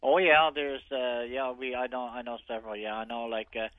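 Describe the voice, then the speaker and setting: A man, a radio guest who speaks hesitantly with many pauses. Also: mid-range voice, little pitch movement, no echo. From Newfoundland. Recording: dry tinny phone line.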